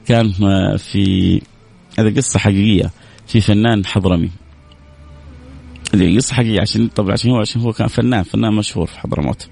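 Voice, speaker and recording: A man's voice: fairly low pitch, fairly even intonation, fast talker, vocal fry, pauses often, a little mellow, breathy edge. A man hosting a radio show, chatting casually and joking with his listeners as he signs off. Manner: chatty, joking, laughs often. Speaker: radio host.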